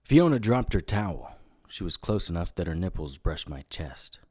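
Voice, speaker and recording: A man's voice: deep voice, very animated, normal speed, darkish tone, slightly rough, no echo, exaggerated voice. A man playing a hard-boiled investigator, narrating his own story in dry noir style in an audio drama. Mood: aroused, hushed.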